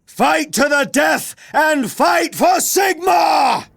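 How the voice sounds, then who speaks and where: An actor playing an earnest, resolute young army commander in a fantasy game, speaking in a solemn, declamatory way. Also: male voice, very high-pitched, animated, slow, vocal fry, a bit bright, no room sound, shouting, clean studio sound, heavily acted. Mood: rousing, defiant. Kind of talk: heroic fantasy game cutscene acting.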